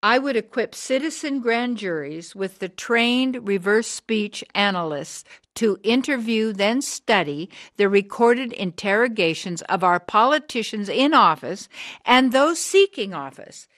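Actress as hard, driven woman of sixty, radio drama. Earnest speechmaker, fairly high voice. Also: animated, unhurried, fairly bright tone. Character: brilliant, driven, hard sixty-year-old woman activist. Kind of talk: scripted radio drama acting.

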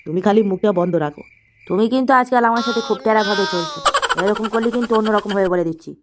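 A woman's voice: medium pitch, very fast, lightly acted. A woman voicing a grumpy, scolding old village grandfather in a comedy cartoon, with faint noise. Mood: grumbling. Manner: scolding, grumbling. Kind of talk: comic cartoon dialogue.

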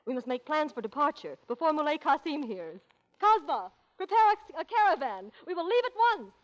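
A lovestruck young princess in old-time radio. Her voice is fairly high and earnestly melodramatic, on a dull vintage recording. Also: very animated, brisk, soft background music. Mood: commanding. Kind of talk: 1940s radio comedy acting.

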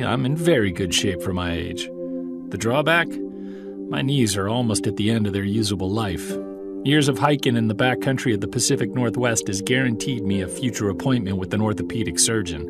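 A man playing a middle-aged Bigfoot investigator in an audio drama, reflective and measured. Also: mid-range voice, close to an everyday voice. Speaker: lead character, narrator. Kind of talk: audio drama acting, scripted first-person narration.

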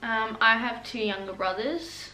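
A woman's voice: on the high side, unhurried, pushed and bright. A nineteen-year-old woman, chatting in a bubbly, easy way while co-hosting a Q&A vlog from her living room couch. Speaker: vlogger co-host. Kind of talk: casual Q&A chat. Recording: echoey room, mic at a distance, faint hiss.

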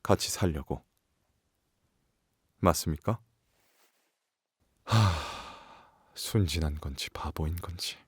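An actor playing a sharp, condescending wolf shapeshifter in an audio drama, questioning with sardonic formal politeness. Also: male voice, deep voice, dry recording, exaggerated voice.

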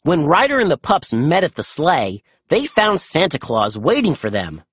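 An expressive narrator reads a children's storybook aloud. The voice is medium in pitch and swings widely for storytelling. It has a husky edge and a dry, close sound. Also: moderate pace, no room sound.

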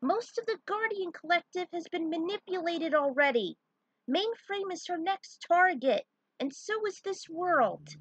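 A woman's voice: extremely high pitch, expressive, unhurried, a bit bright, dry recording, pitched above the performer's own voice, exaggerated voice, low-quality recording. A woman voicing a bold female space bounty hunter in a story, calling out urgent orders. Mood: urgent shouting.

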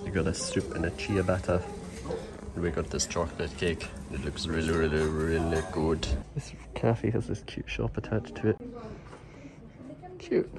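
A man's voice: low voice, normal speed, crowd noise, rough recording. A man in his thirties casually narrating a travel vlog, enthusiastic and marveling at the scenery, filmed on a handheld camera. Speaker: vlogger. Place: outdoors on hills and in a cafe. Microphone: handheld camera at arm's length.

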